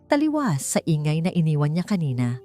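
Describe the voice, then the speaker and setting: A woman's voice: low-pitched, sing-song, fairly quick, dark tone. A woman audiobook narrator reading a scripted dramatic story. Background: background music.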